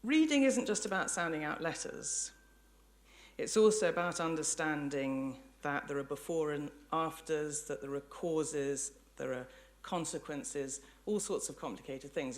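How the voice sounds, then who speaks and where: A woman giving an articulate, measured public lecture with illustrative storytelling. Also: sits low.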